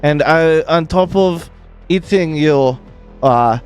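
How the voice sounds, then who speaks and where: Brash Russian thug in broken English, played by a man with a bit of a high, smooth voice, faint music under it. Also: takes it slowly, a little mellow. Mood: menacing. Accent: put-on Russian.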